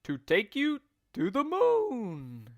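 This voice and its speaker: A gleefully nasty husband from a children's storybook, acted out by a man. He drawls with false sweetness and gloating menace, his pitch swooping widely at a slow pace. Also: huge pitch swings, full character voice. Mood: mock horror. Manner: mock-sweet, gloating, drawn-out. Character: nasty, gleeful, scheming husband feigning concern. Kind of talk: storybook character voice.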